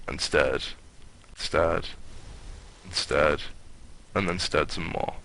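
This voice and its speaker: A man's voice: very deep voice, takes it slowly, pushed and bright, slight background noise, rough recording. A man narrating a comic story as a hammed-up dramatic reading, in a mock-grandiose manner with offhand asides.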